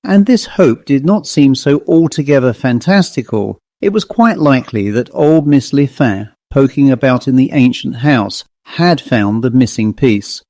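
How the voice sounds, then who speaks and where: Man narrating a story, mellow and measured. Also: medium pitch, lively intonation, no echo. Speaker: audiobook narrator. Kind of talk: scripted storytelling narration of a classic ghost story.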